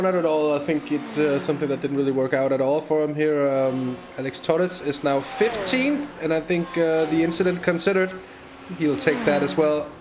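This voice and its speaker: A man's voice: fairly high pitch, darkish tone, a little hiss or hum. Chatty male sim racing commentator. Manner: chatty, hesitant, frequent fillers and restarts. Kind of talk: live race commentary. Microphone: USB microphone.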